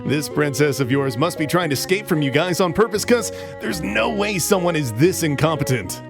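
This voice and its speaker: A man playing a blunt, judgmental hero in an animated comedy, speaking with open disbelief. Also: fairly high pitch, normal speed, gravelly. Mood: scornful. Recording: music behind voice.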